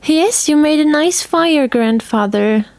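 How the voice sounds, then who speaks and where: Bubbly twelve-year-old girl acted by a woman, audio play, high-pitched, affectionate, dry close recording. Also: animated, slow, a little mellow, no echo, full character voice. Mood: playfully defiant.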